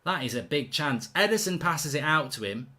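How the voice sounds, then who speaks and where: A man's voice: a little high, animated, normal speed, bright, forceful tone. A man hosting a live football watchalong. He reacts to the play, reads out viewer messages and talks in a blunt, chatty way with mild swearing. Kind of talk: live match watchalong commentary reading viewer chat.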